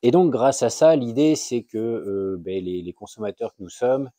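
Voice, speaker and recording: A man giving an informal, unscripted explainer as a video host, halting and often searching for words. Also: medium pitch, mellow, dry recording, studio quality. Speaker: YouTube channel host sharing a tip.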